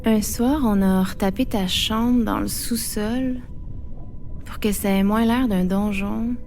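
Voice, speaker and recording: An actress playing a tender, caring woman, narrating intimately and deliberately in a poetic theatre piece. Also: medium pitch, fairly even intonation, darkish tone. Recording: music under the voice.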